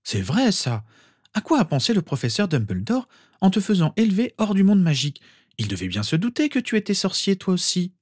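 Man voicing an emotional mother, pitched well up. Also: expressive, brisk, darkish tone, dry recording, clean studio sound, natural voice. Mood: incredulous. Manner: exclaiming, emphatic questioning. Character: anxious mother, warm-hearted, indignant and emotional.

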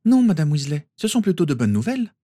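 A man reading aloud and voicing a bossy, sharp teenage girl witch, with a husky voice. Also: on the high side, very animated, quick pace, voice pushed up. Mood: scolding, concerned.

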